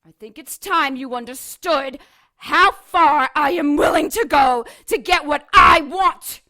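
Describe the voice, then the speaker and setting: A sharp, bright female voice for a depraved serpent goddess. She speaks slowly and grandly, full of imperious menace, as a power-hungry villain in an audio drama. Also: high voice.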